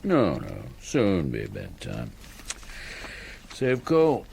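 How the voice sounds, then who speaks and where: A man playing a quiet, laconic, thrifty farmer in a period radio drama, speaking sparsely and hesitantly. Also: rumbling low, huge pitch swings, drawn-out words, creaky, a little hiss or hum, exaggerated voice. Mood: absorbed, dry.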